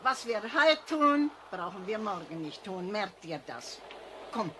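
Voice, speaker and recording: A woman's voice: mid-range voice, very animated, a little slow, fairly bright tone. A woman playing a cross, nagging woman in a children's radio play, scolding and impatient. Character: cross, nagging woman gathering wood in the forest. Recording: noisy old cassette transfer.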